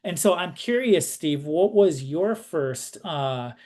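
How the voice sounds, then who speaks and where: A man hosting a conversational interview show, speaking in a halting way and circling back as he explains. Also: on the high side, lively intonation, darkish tone.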